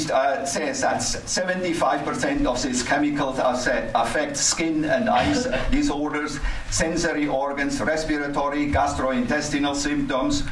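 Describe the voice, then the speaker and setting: An older man giving a formal, scholarly prepared address at a public meeting, speaking haltingly. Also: on the high side. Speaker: resident speaking at public comment, cancer researcher. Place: community center meeting hall. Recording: roomy, distant mic, faint noise.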